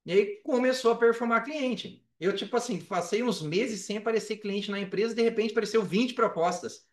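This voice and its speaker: A Brazilian man telling his own story casually as a podcast guest, colloquial and animated, often acting out quoted dialogue. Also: sits high, normal speed. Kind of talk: casual personal storytelling in an interview. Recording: roomy echo, distant from microphone.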